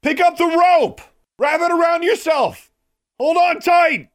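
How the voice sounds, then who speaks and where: A man voicing a determined teenage girl witch, shouting commands urgently in an audiobook story. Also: very high-pitched, measured pace, pitched above the performer's own voice. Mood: urgent, commanding.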